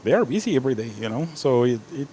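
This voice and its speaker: A man's voice: medium pitch, very animated, rapid-fire, warm tone, a little hiss or hum, rough recording. A man from Guatemala, a restaurant chef, chatting casually about his cooking with many "you know" fillers. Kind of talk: casual interview chat.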